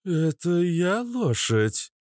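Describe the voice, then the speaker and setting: A man's voice: mid-range voice, huge pitch swings, extremely slow, darkish tone, slightly rough, no room sound, studio quality, pitched above the performer's own voice, exaggerated voice. A man voicing a sardonic, menacing female werewolf who drawls and growls in a narrated story. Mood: offended.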